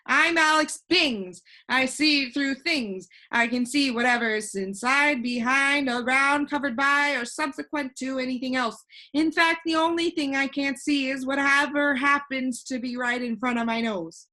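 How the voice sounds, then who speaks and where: A woman's voice: high-pitched, a little slow, hardly any pauses, sharp tone, voice pushed up. A woman voicing a cheerful, know-it-all young boy in a storybook, proudly explaining things. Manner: proud, lecturing. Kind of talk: animated children's storybook reading.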